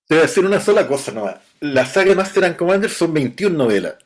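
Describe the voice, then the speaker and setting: A middle-aged man with a Chilean accent, a novelist being interviewed, talking in a relaxed, chatty way with frequent restarts. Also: a little high, fast. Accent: Chilean Spanish. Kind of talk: casual interview talk.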